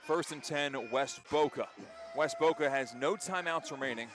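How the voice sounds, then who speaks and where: A man doing live radio play-by-play of a football game, an excitable commentator who lifts his voice for big plays. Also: on the high side, moderate pace, over music. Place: outdoor high school football stadium. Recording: faint outdoor stadium ambience noise. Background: faint outdoor ambience.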